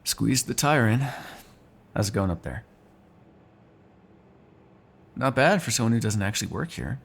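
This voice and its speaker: A man's voice: mid-range voice, animated, brisk, vocal fry, breathy edge. A man playing a sarcastic, teasing vampire who kills without regret, in casual, profane roleplay banter. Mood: wry, coaxing. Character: snarky male vampire, restless unrepentant killer, teasing. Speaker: voice actor in an audio roleplay. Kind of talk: ASMR roleplay, casual one-sided dialogue.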